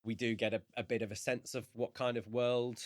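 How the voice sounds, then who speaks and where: A man co-hosting a podcast, discussing a book in a casual, measured and reflective way. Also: mid-range voice, level tone, no echo, clean studio sound. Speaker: podcast co-host.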